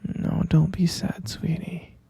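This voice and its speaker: Man acting a creaky whispering obsessive kidnapper boyfriend. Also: a little high, little pitch movement, warm tone, dry recording, full character voice. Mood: soothing, obsessive. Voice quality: breathy, fry-laden murmur. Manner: slow, coaxing, saccharine pet names. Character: obsessive, possessive male kidnapper cooing to captive lover. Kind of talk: ASMR boyfriend roleplay, intimate close whispering.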